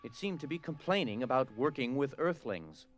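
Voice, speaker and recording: A man's voice: medium pitch, animated, normal speed. A man narrating a scripted dramatic story. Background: music.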